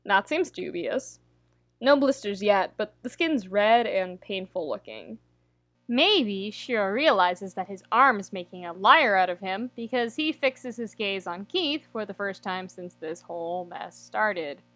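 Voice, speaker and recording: A woman reading a scripted story aloud, with wry, dramatic timing and deliberate pauses. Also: medium pitch, expressive, a bit bright, no echo. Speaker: podfic narrator reading fan fiction.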